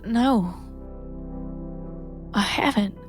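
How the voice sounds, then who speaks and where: A woman's voice: medium pitch, very slow, soft background music. A woman playing an angry, disillusioned young female adventurer in a tabletop game, terse and confrontational. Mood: shaken, subdued.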